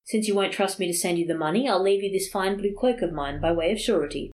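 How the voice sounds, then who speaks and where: A woman's voice: mid-range voice, fast talker, a little mellow, lightly acted. A woman voicing a lustful village priest who wheedles and boasts. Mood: wheedling.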